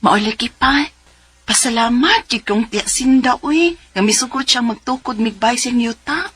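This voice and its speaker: A woman's voice: on the high side, normal speed, a bit bright, husky. An actress with a Cebuano accent playing a married mother in her forties who tells her story in a radio drama. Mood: familiar, warm. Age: 40s. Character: Zenaida, 42-year-old married mother of two, letter sender. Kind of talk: radio drama acting and letter narration. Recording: music bed behind the voice.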